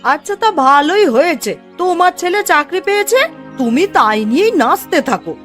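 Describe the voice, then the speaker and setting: A woman's voice: very high-pitched, expressive, moderate pace, a bit bright. A woman voicing a touchy, indignant village mother in a cartoon story, complaining emphatically. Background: music.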